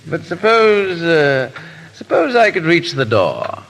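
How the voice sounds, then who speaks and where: Breezy teasing gentleman hero, lilting lively pitch, hissy echoing old radio play. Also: slow pace, full character voice. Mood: breezy, amused. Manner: flippant, drawling, playfully hesitant. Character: debonair, teasing English gentleman adventurer, unflappable. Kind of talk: 1930s radio drama acting. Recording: noisy, echoing 1930s radio broadcast.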